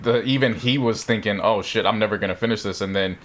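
Man, lowish voice, laid-back podcast co-host, rambling storyteller, faint noise behind. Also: fast talker, fairly bright tone. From part Mexican family. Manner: easygoing, rambling storyteller with many fillers. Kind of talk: casual chat.

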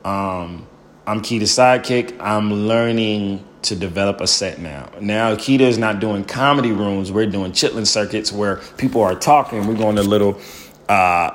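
A young male stand-up comedian with a loud voice, telling his story in an animated interview and acting out the people he quotes. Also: on the low side. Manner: acts out quoted people in bursts.

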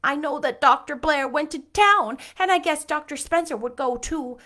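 A scared young girl, as a woman reading a story puts her on, high and breathless, pleading through near tears. Her voice sits well above the reader's own. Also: normal speed, bright, forceful tone. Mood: sobbing. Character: frightened young girl, the friend. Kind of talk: audiobook character voice.

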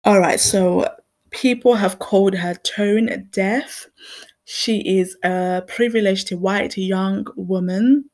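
A young adult woman from Zimbabwe hosting her own podcast, talking in an earnest, reflective way. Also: mid-range voice. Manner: earnest and reflective, with small laughs. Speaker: podcast host. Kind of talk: personal commentary monologue.

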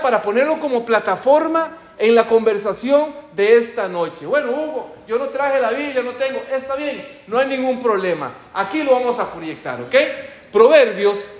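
A man with a Costa Rican accent preaching and teaching in a church, praying and asking the congregation questions with emphasis. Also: very high voice. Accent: Costa Rican Spanish. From Costa Rica. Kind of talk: church teaching talk with prayer. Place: large echoey church hall. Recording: very echoey hall with faint noise.

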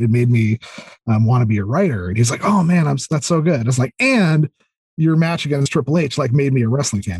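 A man in his thirties chatting casually as a podcast guest, explaining things enthusiastically in a halting way full of fillers. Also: medium pitch, darkish tone, husky, no echo. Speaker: podcast guest, audio drama creator. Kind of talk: casual interview chat.

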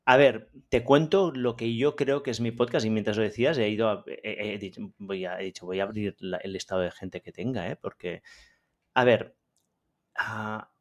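Relaxed Catalan man, low voice. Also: normal speed. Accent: Catalan-accented Spanish. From Catalonia, Spain. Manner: relaxed, friendly, self-critical. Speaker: podcast guest, podcaster. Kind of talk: casual interview conversation.

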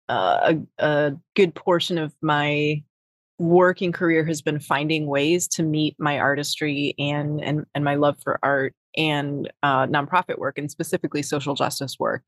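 A woman's voice: low-pitched, no echo. A middle-aged woman from Wisconsin with a Wisconsin accent, talking casually as an interview guest, with lots of fillers and restarts. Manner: rambling, many fillers and restarts. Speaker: podcast guest, nonprofit executive.

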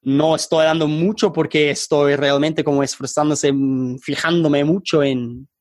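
A man's voice: fairly high pitch, fairly even intonation, measured pace, darkish tone, no echo, studio quality. A man from England with an English accent, a non-native speaker in a casual interview, speaking hesitantly and searching for words. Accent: English accent in Spanish. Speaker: podcast guest, online tutor and startup founder.

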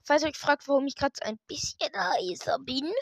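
A hoarse woman hosting a gaming channel, chatting casually and hesitantly while she plays, with lots of fillers and restarts. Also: high-pitched, fairly quick, fairly bright tone, no room sound, studio quality. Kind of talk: casual gameplay commentary. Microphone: desk microphone.

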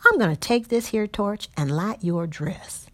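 A woman's voice: mid-range voice, lively intonation, measured pace, dry recording, pitched above the performer's own voice. A woman voicing a skinny, boastful male patroller with a drawl. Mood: threatening. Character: skinny brash male Southern patroller Travis.

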